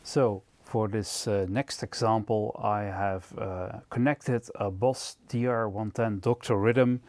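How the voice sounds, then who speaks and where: A man giving an unscripted product demonstration as presenter, explaining in a halting, hesitant way. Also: lowish voice, fairly even intonation, a little mellow, no room sound. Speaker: gear reviewer and presenter.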